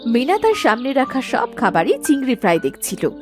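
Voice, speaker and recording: A woman storyteller narrates a cartoon tale in a very high, animated voice. Faint music plays behind her scripted narration. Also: moderate pace.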